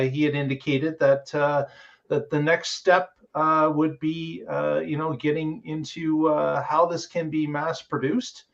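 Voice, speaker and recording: A man's voice: a little high, gravelly, muffled recording. A man hosting a casual podcast, talking through the week's news with many filler words. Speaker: podcast host.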